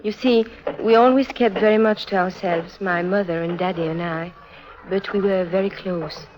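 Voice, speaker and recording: A woman's voice: medium pitch, steady tone, moderate pace, noisy background, natural voice. A woman playing a lonely, earnest teenage circus orphan who confides sorrowfully in a 1950s radio drama. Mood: sad, confiding. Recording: muffled vintage 1950s radio recording.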